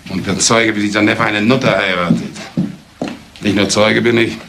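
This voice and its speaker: An actor playing a scornful, indignant older uncle in a German crime radio play, with an emphatic way of talking. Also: male voice, lowish voice, fairly even intonation, normal speed, noisy background, close to an everyday voice. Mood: scornful outrage. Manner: emphatic, indignant rhetorical questions.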